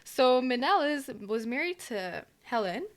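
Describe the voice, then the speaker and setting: A woman's voice: high-pitched, sing-song, unhurried. Chatty woman retelling a myth. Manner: rambling, hedging retelling with fillers. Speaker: podcast co-host. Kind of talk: casual chat.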